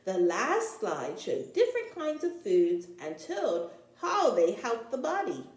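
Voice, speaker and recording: Slow, animated woman reading a storybook. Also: a little high, echoey room. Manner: animated, deliberate storytelling for young children. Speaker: storytime reader, teacher-like. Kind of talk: expressive read-aloud of a children's picture book.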